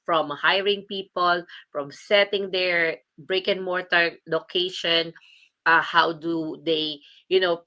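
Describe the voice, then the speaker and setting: A woman's voice: lowish voice, sharp tone. A woman from the Philippines hosting a casual livestream as a business coach, chatty and upbeat, laughing often.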